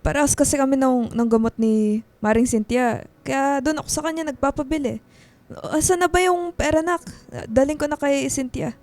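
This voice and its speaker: A woman's voice: high voice, moderate pace, darkish tone, no echo, close to an everyday voice. A woman acting a stubborn, evasive, ailing mother in a Filipino radio drama, exclaiming and repeating herself as she brushes people off. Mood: evasive, flustered.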